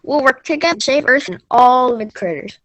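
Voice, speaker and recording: A woman's voice: sits high, expressive, fairly quick, a bit bright. A woman playing a folksy, bold frontier hunter in a readers theater piece for children, with a declamatory delivery. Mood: earnest, rallying.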